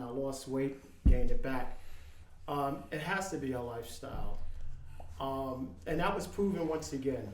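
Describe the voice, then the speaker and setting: A man in his fifties speaking in casual chat as a podcast guest, earnest and halting, with many ums and restarts. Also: mid-range voice, reverberant, slight background noise. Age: 50s.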